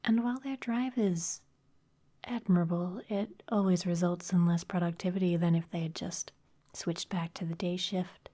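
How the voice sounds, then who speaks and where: A woman voicing a sly, intimidating female vampire executive in a close, breathy ASMR whisper, polished and teasing. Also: on the low side, fairly even intonation, normal speed, warm tone, lightly acted. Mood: smooth, managerial. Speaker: ASMR performer.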